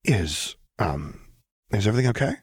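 A man voicing a gentle, earnest young woman mage who speaks softly and pleadingly. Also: lowish voice, big pitch swings, normal speed, breathy edge, dry recording, studio quality, heavily acted. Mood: alarmed. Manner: soft-spoken and pleading.

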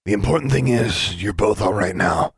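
A man voicing a folksy, calm school custodian in a storybook reading. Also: on the low side, normal speed, breathy edge, no room sound, clean studio sound.

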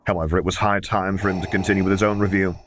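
A man's voice: deep voice, little pitch movement, racing, slight background noise. A man reading scripted fiction aloud as an audiobook narrator. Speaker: audiobook reader.

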